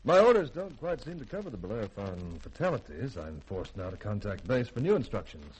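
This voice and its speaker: An actor playing a firm, dutiful military spaceship commander in a 1950s radio drama, clipped and authoritative. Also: male voice, fairly low pitch, big pitch swings, a little fast, slightly rough. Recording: noisy vintage radio recording.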